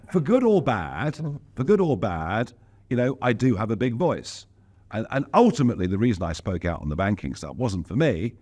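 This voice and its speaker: A man's voice: medium pitch, a little mellow. A man being interviewed as a politician, speaking in an emphatic, outspoken way with wry asides. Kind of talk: casual interview talk.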